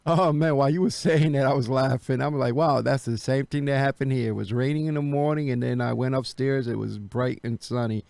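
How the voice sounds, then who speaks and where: Gravelly man chatting, close and dry. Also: medium pitch, fairly even intonation, fairly quick, few pauses, darkish tone, no echo. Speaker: amateur radio operator, video host. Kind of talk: casual radio chat. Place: indoor home radio room.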